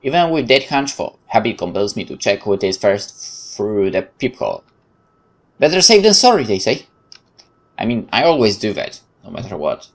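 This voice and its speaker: A man's voice: on the low side, very animated, normal speed, gravelly. A man with a Polish accent reading visual novel text aloud as a let's play host, dramatically, with occasional stumbles and casual asides. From Poland.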